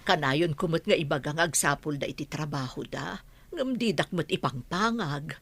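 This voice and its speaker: Low-voiced weary Ilocano working mother acted by a woman. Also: normal speed, creaky, breathy edge, dry recording, heavily acted. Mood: weary, resigned. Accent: Ilocano Filipino. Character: weary working mother, housemaid with idle grown children. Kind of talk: Ilocano comedy radio drama acting.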